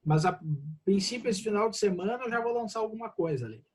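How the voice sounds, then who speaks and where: High-voiced Brazilian professor on a video call. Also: male voice, quick pace. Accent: Brazilian Portuguese. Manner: casual, warm reassurance with frequent "né?". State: slightly congested. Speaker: law professor. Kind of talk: online class Q&A.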